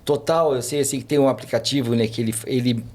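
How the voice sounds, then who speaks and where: Fast-talking man telling stories. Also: mid-range voice, steady tone, darkish tone. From Brazil. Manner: rambling, many fillers and restarts. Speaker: podcast guest, tour guide. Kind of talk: casual chat, storytelling.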